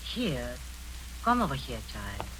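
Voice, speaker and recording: An actress in her sixties playing a domineering, sweetly sinister old woman in a 1940s radio drama, speaking softly and insistently with low chuckles. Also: sing-song, takes it slowly, full character voice. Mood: sinister, coaxing. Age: 60s. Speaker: Aunt Rita, Miss Crable. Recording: old radio broadcast with faint hiss.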